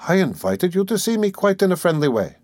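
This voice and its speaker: A man's voice: sits high, very animated, fairly quick, a little mellow, dry recording, pitched above the performer's own voice. A man voicing a sly, falsely jovial middle-aged examining lawyer in an audiobook, teasing and ironical. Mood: gleeful. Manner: teasing, chuckling, wheedling, ironical. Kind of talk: dramatic audiobook character reading.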